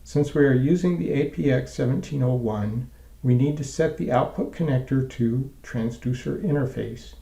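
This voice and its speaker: A man narrating a scripted software tutorial in a measured, methodical, step-by-step way. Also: medium pitch, roomy sound.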